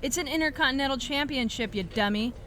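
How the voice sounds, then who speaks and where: A woman's voice: high voice, fast, slight background noise. An actress playing a boastful, bratty woman wrestling champion in a video game cutscene, smug and mocking. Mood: taunting. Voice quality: bright, taunting.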